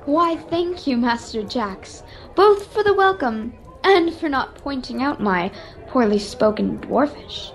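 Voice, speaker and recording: Woman acting high-voiced, courteous, mysterious adviser. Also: expressive, heavily acted. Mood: gracious. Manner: formal, courteous, measured. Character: mysterious cloaked woman, well-spoken adviser and quest-giving patron. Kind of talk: radio-serial adventure acting. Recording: home video-call recording.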